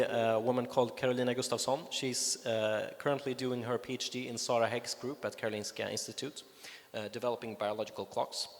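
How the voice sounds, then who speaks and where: A man with a Swedish accent, a native Swedish speaker, giving a conference talk with enthusiasm and lots of fillers and restarts. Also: mid-range voice. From Sweden. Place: conference hall. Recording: reverberant hall.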